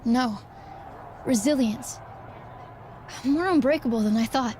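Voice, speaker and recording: Woman doing a tough young lady wrestler from a game, high pitched, defiant and really earnest. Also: unhurried, a little mellow, slight background noise, close to an everyday voice. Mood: wry, confident. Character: fierce, principled young woman pro wrestler, defiant and resolute. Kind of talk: scripted video game cutscene acting.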